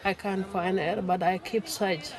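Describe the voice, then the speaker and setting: A woman, speaking halting, broken English as a worried mother in an emotional interview. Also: mid-range voice, steady tone, crowd noise. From South Sudan.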